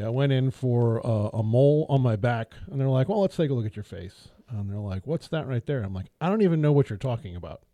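A man on a podcast spins a rambling, self-deprecating story in a mellow, darker tone. His voice sits at a middle pitch. The studio sound is dry and close. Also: no room sound. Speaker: podcast co-host. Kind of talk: casual storytelling chat. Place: small podcast studio around a table.

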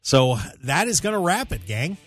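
A man's voice: a little high, sing-song, normal speed, a bit bright, slightly rough, soft background music. A man hosting a sports talk radio show in a casual, conversational way, addressing his listeners directly.

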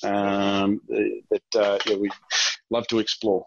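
A man's voice: lowish voice, level tone, slow, creaky, darkish tone, highs cut off, a little hiss or hum. A man with an Australian accent chatting casually as an interview guest, answering questions. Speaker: guest, real estate director and auctioneer.

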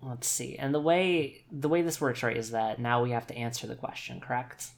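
A man's voice: medium pitch, fairly even intonation, normal speed, vocal fry. A man improvising casually in a tabletop game, thinking aloud and repeating phrases as he goes. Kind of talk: casual improvised tabletop role-play chat.

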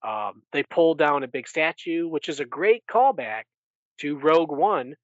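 A man's voice: a little high, animated, unhurried, dry recording. Guy hosting a podcast, retelling stuff casually, dry and kinda lo-fi. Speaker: podcast host. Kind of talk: casual chat, recapping.

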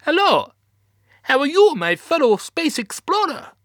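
A man voicing a friendly female astronaut dog with a thick, exaggerated Russian accent and slow, formal broken English. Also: extremely high pitch, big pitch swings, a bit bright, no echo, clean studio sound, voice pushed up, full character voice.

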